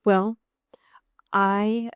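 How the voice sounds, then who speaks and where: An American woman co-hosting a podcast in casual, comedic chat, riffing with mock exasperation and drawn-out emphasis. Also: medium pitch, flat delivery, clear, resonant tone, no room sound. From US Pacific Northwest. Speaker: podcast co-host.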